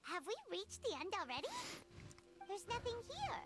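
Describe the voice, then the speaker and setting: A woman voicing a tiny, childlike, excitable fairy companion in a video game, squeaky and wide-eyed. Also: normal speed. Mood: puzzled. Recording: game music behind the voice.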